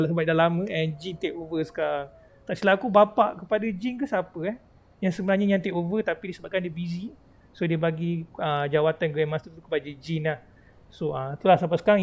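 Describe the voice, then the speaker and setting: A man with a Malaysian accent giving casual, chatty live gaming commentary as a streamer, explaining things in slangy speech. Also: sits high. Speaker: game livestreamer.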